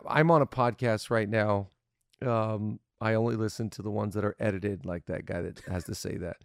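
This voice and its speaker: Upbeat male podcast host, mid-pitched, playful and chatty, dry close studio sound. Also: fairly even intonation, gravelly, smooth, dry recording. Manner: upbeat, playful, quick to laugh. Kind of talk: casual podcast talk on leadership.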